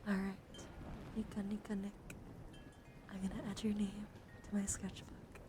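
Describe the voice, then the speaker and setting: A woman live streamer doing ASMR, speaking softly and mostly in a gentle whisper to her viewers. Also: mid-range voice, completely flat delivery, moderate pace. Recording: clearly audible background noise.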